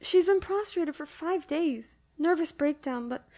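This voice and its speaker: A woman's voice: sits high, lively intonation, measured pace, a little mellow. A woman playing a prim, formal housekeeper type on the telephone in a suspense radio play, matter-of-fact in delivery. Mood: formal, insistent. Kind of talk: old-time suspense radio play acting.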